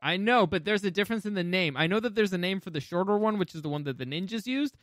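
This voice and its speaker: Brash male podcast co-host, fairly high voice, close dry sound, swears and argues casually. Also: lively intonation, quick pace, a bit bright, no room sound. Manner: brash, foul-mouthed, argumentative. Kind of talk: casual chat, pop culture ranking banter.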